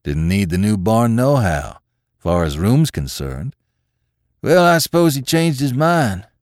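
Storybook farmhand, played by a male reader: young, thick rustic speech, easygoing, animated pitch. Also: unhurried, no echo, studio quality. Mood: agreeable.

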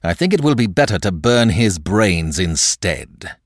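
A coolly ironic young avenger from a period novel, played by a male reader. His voice sits fairly low and sounds stern and commanding, recorded very dry. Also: very animated, unhurried, dry recording, exaggerated voice. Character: stern, vengeful young man, coolly sardonic hero. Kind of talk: dramatic audiobook character acting.

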